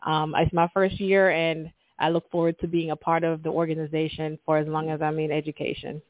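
A woman's voice: low voice, little pitch movement, a little fast, dry recording. A woman from Jamaica with a Jamaican accent, a middle school principal speaking earnestly and enthusiastically as an interview guest, with many ums and polite asides. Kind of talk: conversational interview. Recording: tinny call audio, dry.

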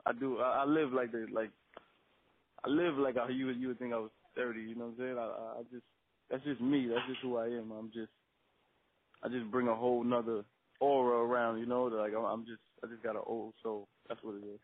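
A man's voice: mid-range voice, flat delivery, brisk, dry recording, phone-quality sound. Young male rapper on a band-limited interview line. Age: twenty. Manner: slangy, repeats phrases for emphasis. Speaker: up-and-coming rapper being interviewed. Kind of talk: casual interview chat.

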